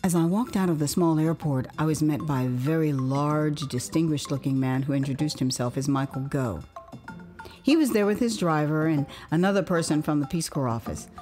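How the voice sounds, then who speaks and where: Expressive American woman telling a dramatised memoir. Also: low-pitched, brisk, faint music behind. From United States, Houston. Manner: theatrical, expressive delivery. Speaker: author and storyteller.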